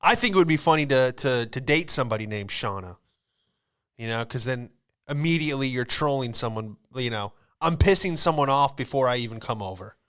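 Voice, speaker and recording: A man's voice: mid-range voice, expressive, fairly quick, a bit bright, clear, resonant tone. A man in his late twenties with an American accent, a podcast host telling comic stories in a casual, slangy, rambling way. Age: late 20s. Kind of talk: comedic storytelling.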